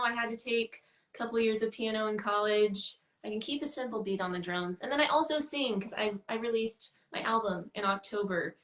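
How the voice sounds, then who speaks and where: A woman's voice: mid-range voice, fairly bright tone. Woman guest chatting in an echoey room. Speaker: guest musician being interviewed. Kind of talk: casual chat. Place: indoor room on a livestream call. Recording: roomy, echoing livestream call.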